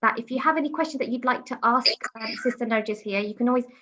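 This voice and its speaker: A woman hosts an online lecture, talking in a halting way full of ums and pauses. Her voice sits fairly high. The sound is dull and lo-fi, like a video call. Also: pushed and bright. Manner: hesitant, many ums. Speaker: host.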